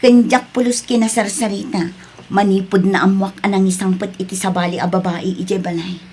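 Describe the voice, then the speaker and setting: A woman playing an earnest working mother in an Ilocano drama, with a husky voice and Ilocano accent. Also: mid-range voice, steady tone, normal speed, mellow, natural voice. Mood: friendly, earnest. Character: Maria, earnest working single mother with a new boyfriend. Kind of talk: Ilocano radio-style drama acting.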